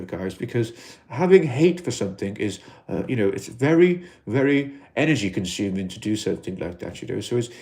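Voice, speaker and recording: Welsh man, mellow reflective livestream host. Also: medium pitch. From Wales. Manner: gentle, reflective, many "you know" fillers. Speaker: livestream host in an alien costume. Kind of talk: casual livestream chat reading comments.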